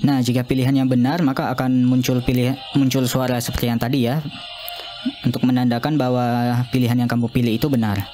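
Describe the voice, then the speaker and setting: A young man narrating an informal software demo as a student, speaking haltingly and repeating himself. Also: medium pitch, level tone, soft background music. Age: young adult. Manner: halting, repetitive. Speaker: student demonstrating own app.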